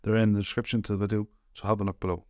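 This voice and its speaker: A man's voice: on the low side, no room sound. A man giving an earnest recorded intro to a podcast episode. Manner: flat, quick, earnest. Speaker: podcast host. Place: small room with branded backdrop.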